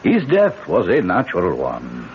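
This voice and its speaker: A man playing a sly, courteous investigating official in an old radio drama, with theatrical, deliberate emphasis. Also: low-pitched, huge pitch swings, full character voice. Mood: smooth, regretful. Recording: muffled, slightly noisy old radio recording.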